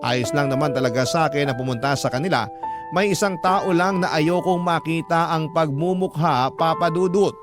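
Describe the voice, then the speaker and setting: A man's voice: a little high, normal speed. A man narrating a love story in the first person as a radio storyteller. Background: music.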